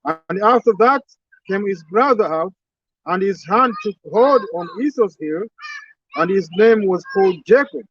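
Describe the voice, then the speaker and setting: A man reading verses aloud at an outdoor street sermon, halting and restarting, chiming in with short agreements. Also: high-pitched, lively intonation, a bit bright, no echo, dull audio. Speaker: scripture reader.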